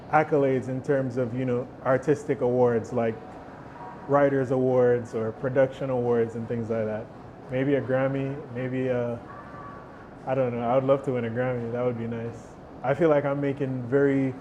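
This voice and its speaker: A young man, a musician, giving relaxed, thoughtful interview answers and often restarting his words. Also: mid-range voice, slight background noise. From Jamaica. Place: outdoor stage.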